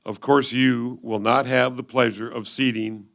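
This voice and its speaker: A man reading old letters aloud in a reader's theatre style, with a solemn, measured delivery. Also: mid-range voice, fairly even intonation, gravelly, a bit bright.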